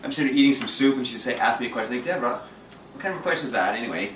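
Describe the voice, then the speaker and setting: Fast-talking intense man in an echoey room. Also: mid-range voice, lively intonation, a bit bright. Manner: intense, direct, lots of restarts. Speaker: dating coach. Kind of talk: casual group chat. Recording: echoey room from a distance, faint noise.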